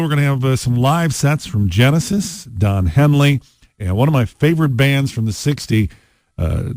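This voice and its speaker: Animated American rock radio DJ, dry studio sound. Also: male voice, mid-range voice, no echo. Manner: casual, conversational, playful asides. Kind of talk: upbeat classic rock radio DJ patter. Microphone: close studio broadcast mic.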